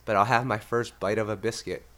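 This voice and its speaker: A man's voice: on the low side, fairly even intonation, fairly quick, a bit bright. A Canadian man hosting a podcast, congested and a bit nasal from illness, easygoing and laughing often. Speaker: podcast host. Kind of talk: casual chat.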